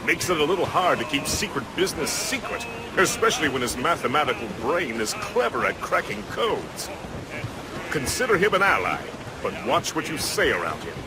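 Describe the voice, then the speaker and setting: A man's voice: on the high side, very animated, normal speed. A man playing a jovial, talkative seafaring first mate in a video game cutscene, chatty, colourful and hearty. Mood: wry. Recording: clear outdoor ambient noise.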